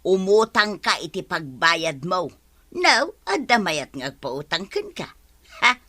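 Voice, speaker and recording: A woman's voice: on the low side, very animated, slow, pushed and bright, heavily acted. A woman with an Ilocano accent acting as a scolding, no-nonsense village woman in a radio comedy drama.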